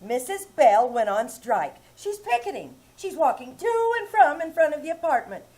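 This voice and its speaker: A chirpy, scatterbrained young wife from an old-time radio comedy, played by a woman on stage. She talks in a high, flustered voice and keeps mangling common sayings. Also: sing-song, normal speed, darkish tone. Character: scatterbrained, sweet young wife, full of malapropisms. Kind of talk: 1940s radio comedy acting. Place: live theater stage.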